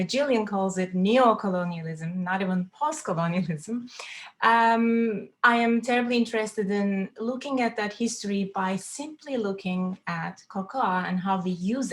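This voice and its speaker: A woman gives a self-introduction on a group call. Her voice is of average pitch, and she phrases her thoughts earnestly and deliberately.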